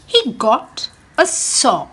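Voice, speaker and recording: Woman teacher reading a storybook to kids, bright husky voice, very slow and expressive. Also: fairly high pitch. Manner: speaks directly to young listeners. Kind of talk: reading a picture book aloud to children.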